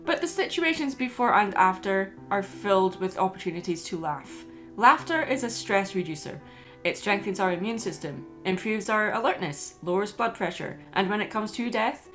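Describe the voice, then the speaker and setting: A woman's voice: medium pitch, expressive, normal speed, fairly bright tone, soft background music. A woman hosting and narrating a scripted video essay.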